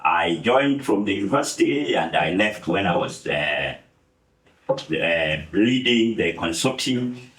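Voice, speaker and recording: A man in his seventies from Kenya, giving a relaxed, humorous account of his career with many pauses. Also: deep voice, reverberant, rough recording. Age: 70s. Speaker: guest speaker. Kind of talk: relaxed storytelling talk.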